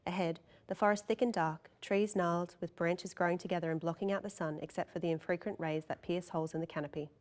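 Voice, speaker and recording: Woman, lower-pitched voice, narrator, scripted stage directions, table read. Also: steady tone, fast, hardly any pauses, a little mellow.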